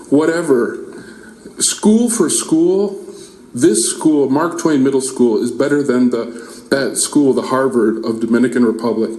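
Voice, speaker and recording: A man's voice: medium pitch, a little mellow. Earnest man speaking in an echoey hall. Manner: earnest and heartfelt, with some halting restarts. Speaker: parent giving public comment. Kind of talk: prepared speech at a public meeting. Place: large meeting room.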